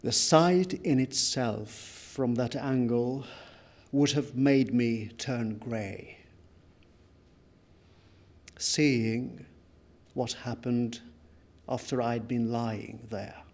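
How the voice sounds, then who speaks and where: Guy doing a dramatic literary reading, really slow and grave, lots of heavy pauses, medium pitch. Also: darkish tone. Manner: solemn, deliberate, with emphatic pauses and repetitions. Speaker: reader at a book launch. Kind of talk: dramatic literary reading of a first-person memoir.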